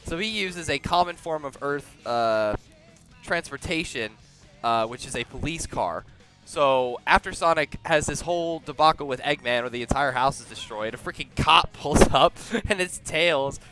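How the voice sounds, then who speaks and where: A young man giving a casual movie review close to the microphone, halting and often repeating words as he starts over. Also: on the high side, bright, forceful tone, faint music behind. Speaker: video reviewer. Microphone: very close to the mouth.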